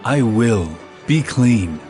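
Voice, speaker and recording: A man's voice: medium pitch, expressive, takes it slowly, warm tone, faint music behind. An actor playing an authoritative, earnest male teacher in a dramatized scripture reading, solemn and emphatic. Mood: assured compassion.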